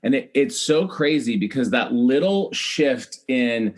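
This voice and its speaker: A man's voice: mid-range voice, lively intonation, measured pace. A middle-aged American man telling personal stories as a podcast guest, chatty and emphatic, often acting out what people said. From Iowa, United States. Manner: chatty, acts out quoted remarks, emphatic repetition. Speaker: podcast guest, celebrity hair stylist. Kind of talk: animated personal storytelling in a podcast interview.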